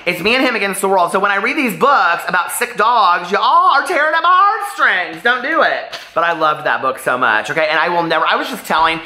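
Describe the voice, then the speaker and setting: A man's voice: sits high, expressive, brisk, few pauses, sharp tone, roomy sound. A man chatting casually and animatedly as a book vlogger, rambling off on tangents.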